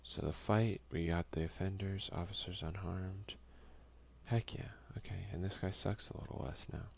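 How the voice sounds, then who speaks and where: A man's voice: sits low, fairly even intonation, moderate pace, creaky, a little mellow, slight background noise. A man hosting a casual ASMR let's play, talking informally with muttered slangy asides and reading game text aloud. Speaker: gaming video host. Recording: music behind the voice.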